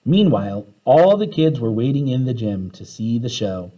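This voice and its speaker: A man reading a children's storybook aloud in an animated way, acting out the dialogue as he goes. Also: medium pitch, moderate pace, poor audio. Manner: animated, acts out the characters' dialogue.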